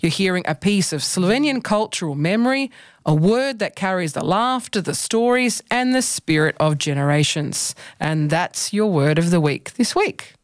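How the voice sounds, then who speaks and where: A woman's voice: on the low side, expressive, normal speed, no room sound, studio quality. Woman reading a radio word segment. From Australia. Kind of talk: scripted reading on community radio.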